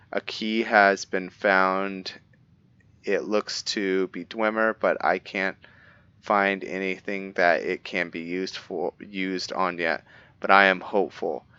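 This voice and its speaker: Give me a man voicing a brooding vampire assassin reading his journal in a deep, smooth, measured voice. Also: bright, forceful tone, dry recording, muffled recording. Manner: measured, stumbles now and then over words while reading. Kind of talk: reading in-character journal entries for a game roleplay.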